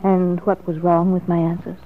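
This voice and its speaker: An actress playing a determined, sharp-tongued woman in a classic radio soap opera, with a haughty, sarcastic manner. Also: on the low side, level tone, normal speed, close to an everyday voice. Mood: impatient. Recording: muffled lo-fi vintage radio recording.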